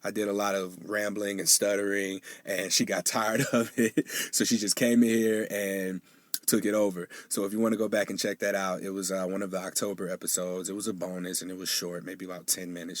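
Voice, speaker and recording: A man's voice: low-pitched, dry recording. A man hosting a solo podcast, talking casually with lots of fillers, sounding nasal and sniffly from a coming cold. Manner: casual and chatty, with frequent fillers. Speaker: podcast host.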